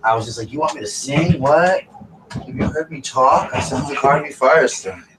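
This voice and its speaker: A raspy man with a Southern US accent hosts a casual live stream, talking like he is chatting with friends. He sits a little away from his mic, and a faint hum of noise stays under his voice. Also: mid-range voice, normal speed, distant mic. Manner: chatty, breaks off to call his dogs.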